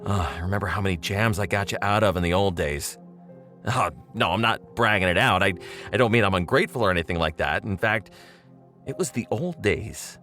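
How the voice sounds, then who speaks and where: An actor playing a chummy old pal with veiled menace in an audio drama monologue, talking straight to the listener in a rambling, needling, familiar way. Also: male voice, sits low, brisk, sharp tone, soft background music. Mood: chummy, insinuating. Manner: conversational, rambling, chuckling, pointedly familiar.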